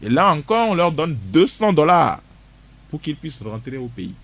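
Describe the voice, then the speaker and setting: A man's voice: fairly high pitch, very animated, normal speed, gravelly, fairly bright tone. A man, a guest on a radio discussion show, speaking emphatically and often repeating words as he restarts. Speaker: studio guest.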